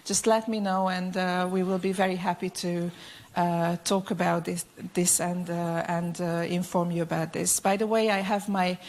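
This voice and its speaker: A woman with a Hungarian accent giving a conference presentation, speaking haltingly with frequent hesitations and repeated words. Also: fairly low pitch, little pitch movement, a little mellow. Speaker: educational publisher's account manager, presenting.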